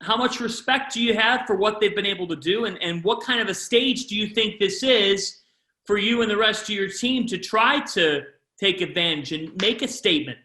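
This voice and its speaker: Male sports interviewer, high bright voice, lively questions, roomy echo. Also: moderate pace. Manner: lively, shifts pace within questions.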